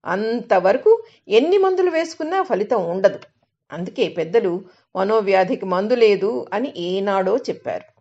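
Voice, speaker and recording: A woman reading a scripted reflective essay aloud in a measured, instructive manner. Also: a little high, darkish tone. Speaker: reader of articles and book excerpts. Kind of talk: scripted reading of a spiritual self-help essay. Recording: dull, lo-fi sound.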